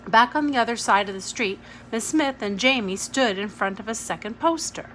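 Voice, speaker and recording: A woman's voice: a little high, lively intonation, moderate pace, a bit bright. A woman narrating a storybook aloud in the first person. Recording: noisy home recording.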